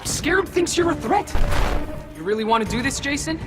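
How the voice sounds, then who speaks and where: Young armored superhero in fighting game; casual, dry humor, varied pitch, over music. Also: male voice, very animated, fairly quick. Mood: teasing. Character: wry, casual teenage male superhero in armor. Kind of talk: video game battle banter.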